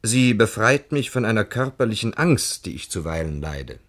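Earnest brother, lively storyteller, literary phrasing, radio feature recollection. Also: male voice, on the low side, moderate pace. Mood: earnest, animated. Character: Lessing's brother, earnestly recounting an anecdote. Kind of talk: dramatic reading of a recollection.

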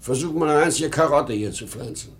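A man's voice: a little high, fairly quick, close to an everyday voice. A man playing an irritable, nostalgic, weary ageing salesman and father in a 1950s German radio drama, swinging between indignant outbursts and brooding murmurs. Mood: irritable, complaining.

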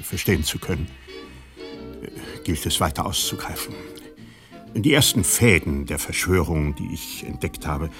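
An actor playing a sober investigator who narrates a radio play in a deliberate, measured, husky way. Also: male voice, deep voice, natural voice. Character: sober male investigator recounting a conspiracy he uncovered.

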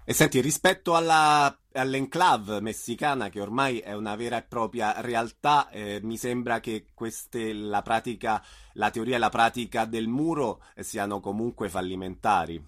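A man's voice: on the low side, moderate pace, few pauses, a bit bright. Man asking interview questions. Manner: short backchannel murmurs.

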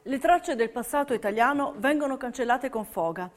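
A female narrator delivers scripted documentary theatre. Her voice is fairly high, and her phrasing is emphatic and declamatory. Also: expressive, normal speed. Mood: solemn. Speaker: stage narrator.

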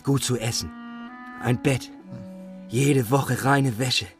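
An actor in a German radio drama playing an earthy, simple, lusty peasant soldier with a coarse, boastful manner. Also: male voice, mid-range voice, steady tone, slow pace, over music, close to an everyday voice. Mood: dreamy, proud.

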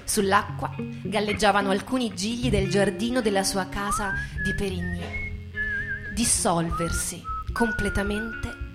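A woman giving a dramatic literary stage reading, expressive and measured, with deliberate pauses. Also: mid-range voice. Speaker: stage performer reading a narrative. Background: live musical accompaniment with guitar.

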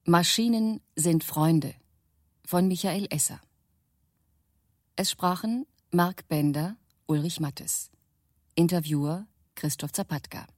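A woman's voice: lowish voice, slow, pauses often, darkish tone, dry recording, studio quality. A woman announcer reading out the credits of a radio drama in a scripted style.

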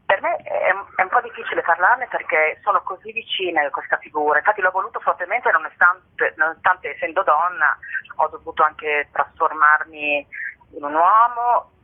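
A woman's voice: fairly low pitch, normal speed. An actress giving an earnest radio interview, searching for her words. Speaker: theatre actress, interview guest. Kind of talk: thoughtful radio interview talk. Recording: narrow telephone line.

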